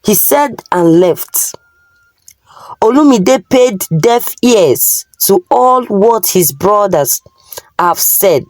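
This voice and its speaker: Nigerian woman, folktale narrator, unhurried, deliberate phrasing, dry close sound. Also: medium pitch, animated, darkish tone, no echo, poor audio. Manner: deliberate, simple storytelling in short phrases. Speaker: storyteller.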